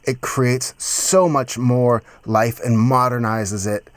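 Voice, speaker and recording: A man's voice: medium pitch, steady tone, takes it slowly, no echo. A man in his thirties giving an informal, enthusiastic, slangy music production tutorial on a close studio microphone in a small home studio. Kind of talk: casual instructional video presenting. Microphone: close studio microphone with pop filter.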